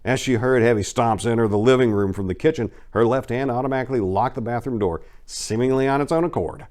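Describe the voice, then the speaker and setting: A man giving an intense, expressive dramatic reading of a scripted crime story as its narrator. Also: mid-range voice, fast talker.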